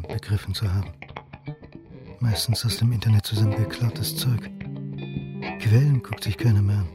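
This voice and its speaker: An actor playing a self-doubting, wry historian who narrates a German radio crime drama in terse phrases. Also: male voice, fairly low pitch, close to an everyday voice. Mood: weary, scornful. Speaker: first-person narrator and protagonist. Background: instrumental music.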